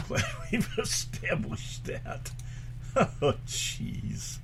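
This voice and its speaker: An American man co-hosting a radio show, chatting casually and joking, his voice jumping high and low. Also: wildly swooping pitch, very slow, gravelly, a bit bright, slightly rough. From United States. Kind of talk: casual banter.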